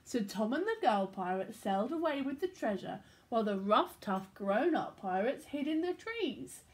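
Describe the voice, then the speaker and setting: A young woman with a high, very lively voice tells a children's story. She takes her time, moving from hushed phrasing to excited emphasis as a storyteller would. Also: moderate pace. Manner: expressive, dropping into hushed whispers and rising to excited delivery. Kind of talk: animated picture-book read-aloud. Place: small domestic room indoors.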